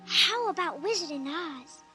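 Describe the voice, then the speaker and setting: Little daughter for vintage radio drama: childlike, slow, swooping, shy and pleading. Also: expressive, sharp tone, soft background music, full character voice. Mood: eager, pleading. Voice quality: high, childlike. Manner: hesitant, pleading. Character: timid, pleading little girl, daughter of the family.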